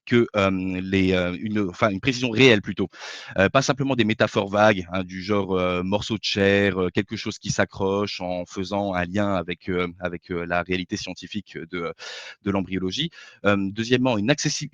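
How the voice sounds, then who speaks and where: A man's voice: lowish voice, fairly bright tone, dry recording. A man giving a formal debate opening statement, laying out his points methodically but hesitating often with fillers. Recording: dull dry lo-fi voice chat mic.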